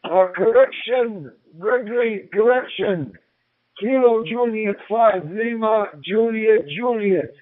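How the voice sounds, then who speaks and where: A man's voice: high voice, takes it slowly, no room sound. A man making amateur radio contacts, courteous and hesitant, repeating names and call signs over a radio link. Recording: tinny phone-like radio transmission.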